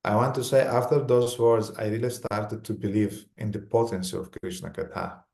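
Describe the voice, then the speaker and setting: A man with a Romanian accent giving a religious lecture in short phrases, pausing for an interpreter. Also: on the low side, fairly even intonation, gravelly, darkish tone.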